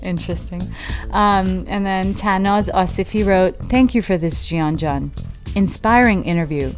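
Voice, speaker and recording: A woman's voice: medium pitch, measured pace, faint music behind. A woman co-hosting a podcast, reading listener comments aloud and bantering with her co-host.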